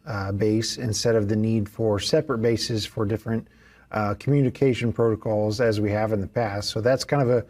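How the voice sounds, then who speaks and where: Young man, product manager, dark mellow voice, relaxed, video call. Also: medium pitch. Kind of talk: casual interview answers.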